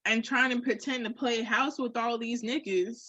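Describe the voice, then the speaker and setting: A woman with a fairly high, husky voice talks candidly, as on a podcast. She is reflective and emphatic and swears freely, and the sound is close and dry. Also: fairly even intonation, normal speed, fairly bright tone, dry recording, muffled recording. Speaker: podcast guest or co-host. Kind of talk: candid casual conversation.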